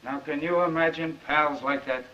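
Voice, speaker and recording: A man's voice: fairly high pitch, steady tone, moderate pace, pushed and bright. An actor playing a brash, wisecracking newspaper reporter down on his luck in a 1930s Hollywood film. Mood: exuberant. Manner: cocky, wisecracking. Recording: muffled, noisy 1930s film soundtrack.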